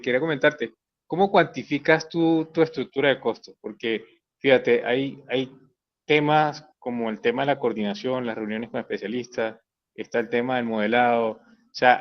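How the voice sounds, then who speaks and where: A man asking a question in a class, pausing often and searching for his words. His voice sounds a little dull and muffled. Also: medium pitch.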